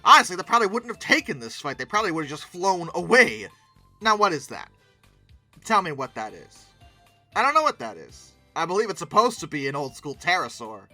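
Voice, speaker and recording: Sharp bright voice, highly animated pitch, casual reaction commentary, expressive host, emphatic exclamations, faint music behind. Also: a little fast. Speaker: host of a paleoart commentary channel.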